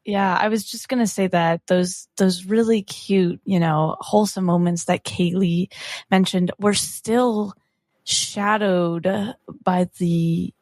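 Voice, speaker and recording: A woman's voice: fairly low pitch, fairly even intonation, takes it slowly, a little mellow, no echo, studio quality. A woman chatting casually after a tabletop game, speaking in a thoughtful, articulate way. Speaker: player on a tabletop role-playing podcast. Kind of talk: casual post-game discussion.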